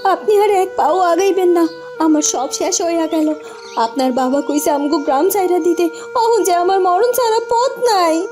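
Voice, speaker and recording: A woman's voice: extremely high pitch, moderate pace, music in the background. A woman voicing an earnest, emotional young village woman, poor but proud, in a cartoon. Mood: despairing. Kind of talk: cartoon voice acting.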